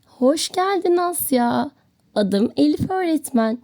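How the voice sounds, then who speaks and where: A woman's voice: high voice, lively intonation, slow pace, a little mellow, voice pushed up, full character voice. A woman voicing a kind, welcoming young female teacher in a children's storybook tale. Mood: welcoming, warm.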